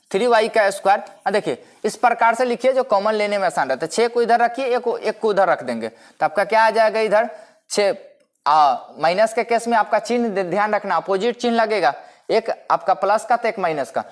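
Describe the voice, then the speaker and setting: A man giving a maths lecture as a teacher, explaining step by step, repeating himself and posing rhetorical questions. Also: high voice. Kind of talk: step-by-step classroom-style lecture.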